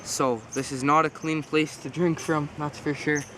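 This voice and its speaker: A man's voice: fairly high pitch, normal speed, slight background noise. Congested man rambling outdoors. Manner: relaxed, rambling, self-correcting. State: congested with a cold. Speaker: outdoor survival vlogger. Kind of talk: casual outdoor demonstration. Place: outdoors by a pond.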